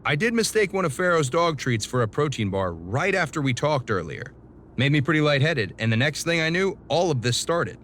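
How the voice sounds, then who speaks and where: A man's voice: fairly high pitch, very animated, brisk, fairly bright tone, slight background noise. A man playing an easygoing, earnest, slightly sheepish wrestler in casual video game cutscene dialogue.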